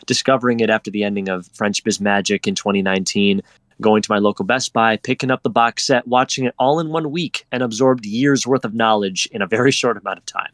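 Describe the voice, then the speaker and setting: A man's voice: lowish voice, fairly bright tone, no echo. Effusive, playful man in close, dry sound. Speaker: fan guest on a panel discussion. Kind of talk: casual enthusiastic fan chat.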